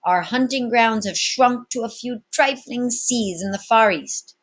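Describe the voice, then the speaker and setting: A woman's voice: a little high, a little slow, voice pushed up. Woman playing a grandiloquent, commanding pirate captain. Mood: solemn, declamatory. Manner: commanding, florid, oratorical. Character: grandiloquent male pirate captain addressing his crew.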